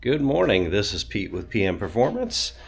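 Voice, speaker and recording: A man's voice: fairly low pitch, sing-song, normal speed, creaky. A man hosting a casual car vlog from his cold home garage, a little nasal and sniffly from a cold, chatty with plenty of ums and blunt asides. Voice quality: slightly nasal. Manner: chatty, many fillers, blunt. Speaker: car channel host.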